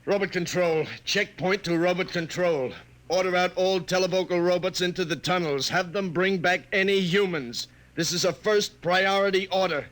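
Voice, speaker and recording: An actor playing a dutiful checkpoint guard in a 1950s radio drama, clipped, official and deferential. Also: male voice, high voice, steady tone, normal speed, fairly bright tone, low-quality recording. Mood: brisk, official. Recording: old-time 1950 radio recording.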